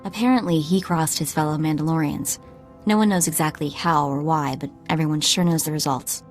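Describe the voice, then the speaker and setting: An actress plays a determined young woman working as a bounty hunter's handler in a game drama. Her voice sits low, earnest and urgent, carrying grief, with soft music behind it. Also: fairly even intonation, fairly quick, natural voice. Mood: urgent, resolute. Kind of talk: video game voice acting.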